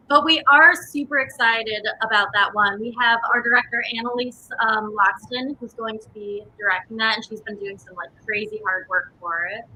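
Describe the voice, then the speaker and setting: Woman interview guest, casual storytelling, extremely bright tone, fairly high pitch. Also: low-quality recording. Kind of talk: casual chat, telling anecdotes.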